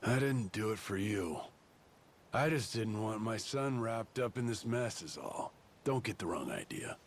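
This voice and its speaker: Low, gruff, distrustful father. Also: animated, measured pace, a little hiss or hum. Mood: stern. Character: gruff, distrustful middle-aged father, proud and protective. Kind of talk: English video game dub acting.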